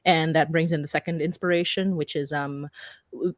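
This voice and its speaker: A woman's voice: sits low, fairly even intonation, normal speed, dry recording, clean studio sound. A young woman speaking casually as a panelist, explaining things with little acted-out asides. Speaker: podcast creator and panelist.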